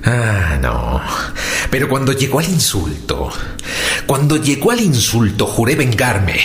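A male actor playing a brooding, tormented man confessing dark deeds in a radio drama, deliberate and intense. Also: mid-range voice, sing-song. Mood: bitter, vengeful.

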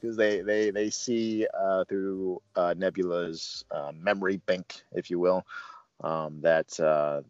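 Low-voiced man in roomy, muffled recording. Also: steady tone, takes it slowly, darkish tone, clean tone. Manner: chuckling, conversational, playful asides. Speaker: movie review host. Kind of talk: casual film discussion, recapping scenes. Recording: roomy, dull sound with music.

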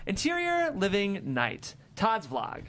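A woman's voice: medium pitch, huge pitch swings, slow, a little hiss or hum. A woman reading the stage directions of a comedy screenplay as a narrator.

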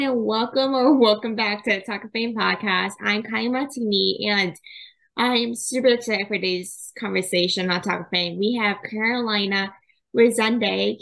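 A young woman hosts a casual podcast interview in a slightly high voice. She talks in an easy, chatty way and scatters fillers like "like" through her questions. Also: creaky, a bit bright, poor audio. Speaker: podcast host.

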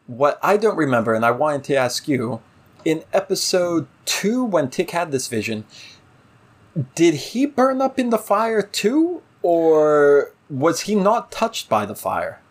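A man co-hosting a casual TV review discussion, halting and thinking aloud as he talks. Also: a little high, big pitch swings. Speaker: podcast co-host.

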